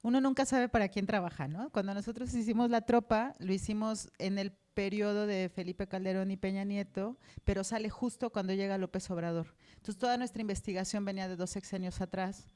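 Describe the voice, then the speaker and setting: A woman's voice: mid-range voice, steady tone, a little fast, hardly any pauses, mellow. Mexican woman journalist, informal panel talk. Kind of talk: informal, conversational panel answer.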